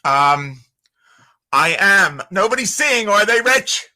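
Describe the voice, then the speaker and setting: A man with a Philadelphia accent, a voiceover artist talking as a guest on a podcast in a measured, articulate way. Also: high-pitched, very animated, bright, forceful tone. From Northeast Philadelphia. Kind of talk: conversational podcast interview. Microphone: audio-only remote podcast call.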